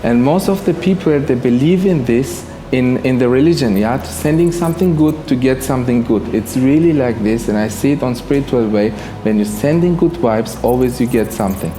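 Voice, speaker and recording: A man's voice: mid-range voice, a little fast, hardly any pauses. A man from Germany giving earnest, motivational personal talk as an interview guest, often repeating his phrases. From Germany, of Iranian background. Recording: roomy echo with faint noise.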